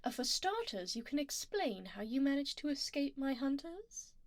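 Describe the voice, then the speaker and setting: A woman's voice: sits high, big pitch swings, normal speed, dry recording. Woman voicing a haughty female vampire noble, swooping intonation, imperious, teasing, formal, close dry ASMR sound. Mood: intrigued, teasing. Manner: imperious, formal and refined, often dropping to a whisper. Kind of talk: ASMR roleplay, intimate scripted monologue. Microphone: close binaural microphone.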